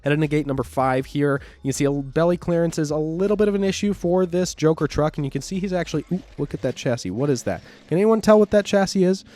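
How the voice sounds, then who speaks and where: A man with a hoarse, slightly nasal voice from a recent cold, casually commenting over competition footage on his own channel, rambling with frequent fillers and self-corrections. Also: a little high, a little mellow. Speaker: channel host and RC crawling commentator.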